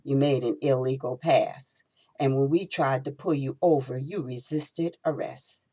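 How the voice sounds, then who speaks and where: A woman voicing a huge, evil, demonic police officer in a story, with a gravelly, menacing delivery. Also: very deep voice, a little slow, dark tone. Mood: cold menace.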